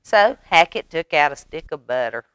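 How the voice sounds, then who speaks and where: A woman reading a picture book aloud at storytime for young children, animated, with chatty asides to her listeners. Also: sits low, moderate pace, clear, resonant tone, dry recording, rough recording.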